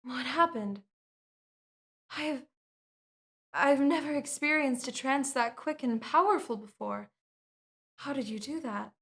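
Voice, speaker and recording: A woman's voice: high voice, takes it slowly, pauses often, fairly bright tone, full character voice. A woman voicing an earnest young princess who bargains with a vampire, talking in a surprised, sincere way. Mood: dazed.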